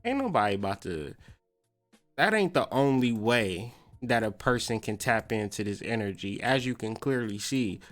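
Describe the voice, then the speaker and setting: A man's voice: on the low side, expressive, moderate pace, fairly bright tone, faint music behind. A man hosting a podcast, mixing casual slangy commentary with reading aloud and repeating phrases for emphasis. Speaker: podcast host.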